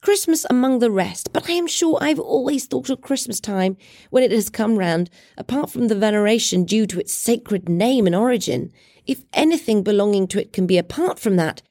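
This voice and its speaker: A woman voicing a cheerful young gentleman, an earnest and eager nephew in an audiobook. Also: mid-range voice, expressive, moderate pace, no echo, clean studio sound. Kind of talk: dramatic audiobook character reading.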